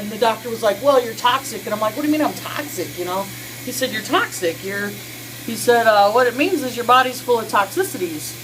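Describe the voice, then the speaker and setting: A woman's voice: on the high side, fairly bright tone, slight background noise, low-quality recording. A woman in her early forties chatting casually through a skincare routine in a small home bathroom, folksy and full of fillers, on a close built-in camera mic. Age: 40s. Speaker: skincare vlogger. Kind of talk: casual how-to chat.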